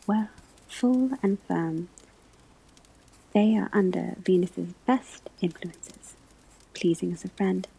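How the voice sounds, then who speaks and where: A woman whispering in a breathy, husky voice, delivering a scripted ASMR classroom lecture as a teacher, with deliberate, measured phrasing. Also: mid-range voice, mellow, poor audio. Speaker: ASMR performer playing a divination class teacher.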